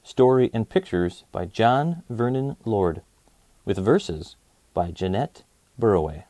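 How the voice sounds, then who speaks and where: A man hosting a children's story channel, reading aloud in an expressive, rhythmic storytelling style. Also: on the low side, takes it slowly, no room sound.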